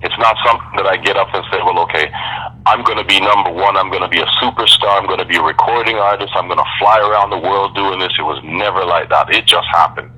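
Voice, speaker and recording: A man being interviewed as a guest MC, speaking in a deliberate, reflective way with measured phrasing. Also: deep voice, bright, forceful tone, breathy edge, rough recording. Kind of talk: podcast interview.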